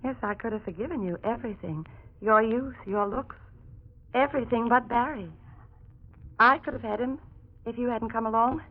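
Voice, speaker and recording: An actress playing a vengeful murderess in a 1940s radio thriller, theatrical and coolly menacing. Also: female voice, on the high side, normal speed, pauses often, close to an everyday voice. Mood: hateful. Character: cold, vengeful murderess who faked being a ghost. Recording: very muffled old radio recording.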